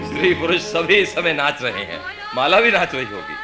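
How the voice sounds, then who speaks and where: A male actor playing an excitable, sociable man at a festival, in animated theatrical radio style. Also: fairly high pitch, normal speed, music in the background. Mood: teasing, chatty. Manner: animated, exclamatory. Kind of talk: theatrical radio play acting.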